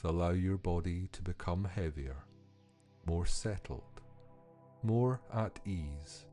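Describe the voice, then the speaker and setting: A man reading scripted guided-meditation narration in a soothing, unhurried manner with measured pauses. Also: deep voice, warm tone. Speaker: podcast host and meditation guide.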